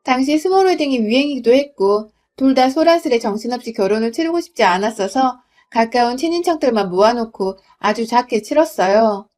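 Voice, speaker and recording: A young woman from Gwangju narrating a first-person story in a smooth, confiding reading voice. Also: on the high side, lively intonation, brisk, few pauses, darkish tone. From Gwangju, South Korea.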